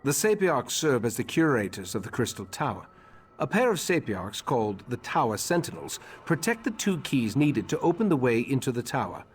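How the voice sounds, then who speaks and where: An actor playing a grave, remorseful elven mage leader in a fantasy game, speaking formally with measured, slightly husky delivery. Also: male voice, medium pitch, sing-song, a little hiss or hum, natural voice. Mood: measured, informative. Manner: measured, formal, solemn exposition. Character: grave elderly male high elf mage leader, remorseful and scholarly.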